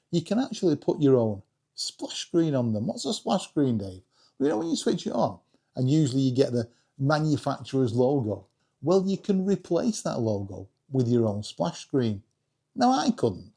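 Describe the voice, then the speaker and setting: Animated man, mellow voice. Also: mid-range voice, gravelly. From England. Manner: self-deprecating, jokey, addresses viewers directly. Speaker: hobbyist video creator and host. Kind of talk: casual chatty vlog presentation.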